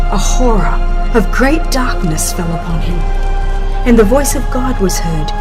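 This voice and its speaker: A woman reads scripted religious narration at a slow pace. Her delivery is solemn and measured, like a dramatized audiobook, with music clearly playing behind her. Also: mid-range voice. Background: background music.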